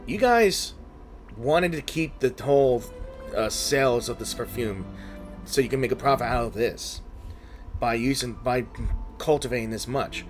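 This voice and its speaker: A man's voice: medium pitch, animated, unhurried. Casual male gamer commenting over music. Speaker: gaming video host.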